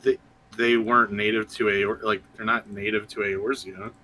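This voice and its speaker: A man's voice: fairly low pitch, little pitch movement, moderate pace, pushed and bright, low-quality recording. A man talking casually as a player in a tabletop role-playing game. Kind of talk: casual chat. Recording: clear background noise.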